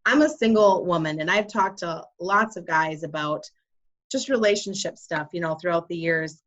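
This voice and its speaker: A woman's voice: on the low side, moderate pace, a bit bright. A woman hosting a wellness podcast and explaining energy healing in an earnest, conversational way. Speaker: podcast host and Reiki practitioner. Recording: dull lo-fi sound.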